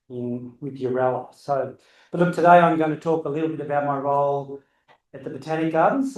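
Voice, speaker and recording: An older man giving a talk to a club meeting, projecting his booming voice across the room without a working microphone. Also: reverberant, low-quality recording. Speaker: guest speaker. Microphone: unreliable handheld mic, then unamplified.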